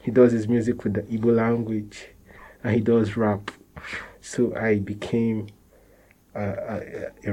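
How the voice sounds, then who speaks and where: A young man with a Nigerian accent speaks at an unhurried, slow pace. His voice is dark and mellow, and he answers casually, restarting phrases and chuckling now and then. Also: lowish voice, low-quality recording. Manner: relaxed, repeats and restarts phrases, laughs softly. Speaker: interviewee, musician.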